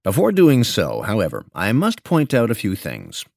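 A man reading a written piece aloud as a narrator, measured and articulate, with expressive emphasis on key phrases. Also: fairly low pitch, creaky, dry recording, studio quality. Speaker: narrator reading an investment memo. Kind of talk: scripted audiobook-style narration.

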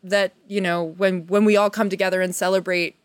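A woman's voice: lowish voice, little pitch movement, brisk, smooth, dry recording, studio quality. A woman guest on a podcast, talking reflectively and earnestly in long, searching, wordy sentences. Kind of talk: casual, reflective podcast conversation.

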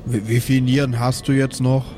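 A man voicing a defensive, wisecracking adventurer in an improvised audio game, with a deadpan manner. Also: medium pitch, normal speed, noisy background, lightly acted. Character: defensive, wisecracking male adventurer, dwarf's brother.

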